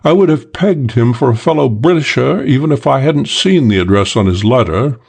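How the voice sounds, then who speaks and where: A man's voice: medium pitch, lively intonation, normal speed, gravelly, breathy edge. A man voicing a cool English con man. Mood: tense recounting. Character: suave English con man, middle-aged man, cool and boastful. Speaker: character in an audiobook. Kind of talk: audiobook character voice.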